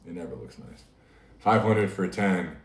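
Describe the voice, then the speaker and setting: A man's voice: sits low, animated, unhurried. A man hosting a casual livestream, talking easily to his chat and laughing often. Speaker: livestream host. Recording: echoey room, mic at a distance.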